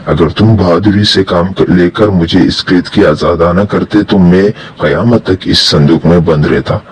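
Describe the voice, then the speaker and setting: A man's voice: low-pitched, a little slow, breathy edge. A man playing a grateful, solemn jinn in a dramatized children's story, with formal, emphatic speech.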